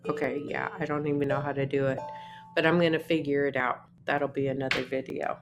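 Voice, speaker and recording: A woman's voice: flat delivery, music in the background. A woman, musing unhurriedly in a casual vlog from her apartment. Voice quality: naturally deep voice. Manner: unhurried, musing, trailing off between phrases. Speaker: artist vlogger. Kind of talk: casual talking-to-camera vlog.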